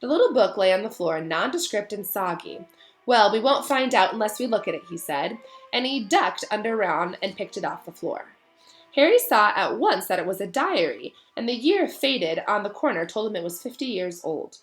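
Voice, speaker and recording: A woman reading a children's novel aloud as narrator, in an expressive storytelling style. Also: mid-range voice, moderate pace, soft background music.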